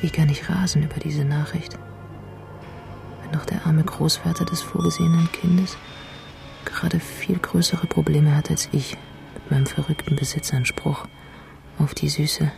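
Radio drama casting: sardonic, intense female artist, heavily creaky voice, breathy and pointed, faint music underneath. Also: low-pitched, steady tone, normal speed. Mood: self-reproachful, agitated.

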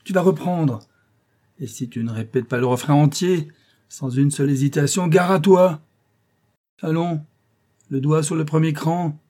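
A man's voice: fairly low pitch, moderate pace, a little mellow, slightly rough. A man playing a harsh, barking violin teacher. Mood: angry, threatening. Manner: barking orders, scolding, threatening. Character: harsh, menacing violin teacher, thin man around thirty.

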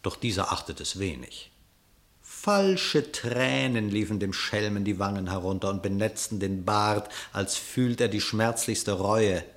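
A man narrating a classic verse fable in a radio play, measured and expressive. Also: lowish voice. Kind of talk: scripted storytelling narration in verse.

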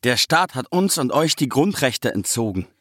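An angry far-right frontman, acted out by a man, stirring up his followers in a mid-pitched voice. He is forceful and agitating, delivering his words as slogans in a dry, close recording of a literary reading. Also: sing-song, normal speed, no room sound. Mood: grim, agitating. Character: angry far-right male singer rallying a crowd.

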